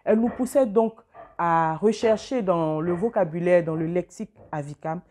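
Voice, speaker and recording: Mellow-voiced woman, calm and reflective. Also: lowish voice, normal speed. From Côte d'Ivoire. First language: Avikam. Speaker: interviewee, mother. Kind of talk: interview testimony.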